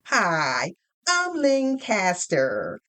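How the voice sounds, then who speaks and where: A woman plays a petite, confident female office greeter in an audio drama. Her voice sits well up high, with a polite, welcoming manner. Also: huge pitch swings, extremely slow, bright, forceful tone, exaggerated voice. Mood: friendly, welcoming.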